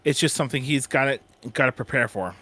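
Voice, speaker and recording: A man hosting a casual, unscripted fan panel, speaking haltingly and often restarting his phrases. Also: mid-range voice, bright, forceful tone, no echo. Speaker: panel host.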